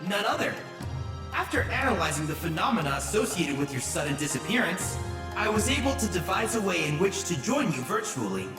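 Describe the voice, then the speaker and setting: A woman's voice: fairly low pitch, very animated, brisk. A woman voicing an eager, polite boy scientist in a video game, with precise, formal phrasing. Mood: fascinated. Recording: roomy echo, music underneath.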